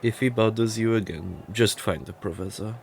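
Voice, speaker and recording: Man acting a stern low-voiced professor, some background noise. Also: vocal fry, darkish tone. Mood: reassuring, firm. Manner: measured, authoritative, dryly disapproving. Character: stern, weary, protective older male professor. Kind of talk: audio roleplay acting.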